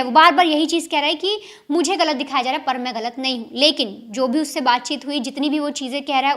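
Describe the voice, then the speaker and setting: High-pitched woman reporting crime news. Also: a bit bright. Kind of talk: explanatory news commentary to camera.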